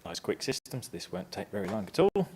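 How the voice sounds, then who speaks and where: Upbeat man giving a live software demo. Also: lowish voice, very animated, fast, breathy edge. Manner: casual and upbeat, steps through clicks. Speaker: presenter. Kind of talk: live software tutorial talk. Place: conference stage.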